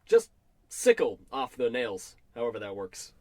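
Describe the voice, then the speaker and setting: A man's voice: medium pitch, huge pitch swings, measured pace, a little mellow, no echo. An actor playing a nervous, whiny salesman in a parody old-time radio comedy, anxious and easily exasperated. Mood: worried, uneasy. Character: nervous, jumpy male travelling salesman, whiny sidekick.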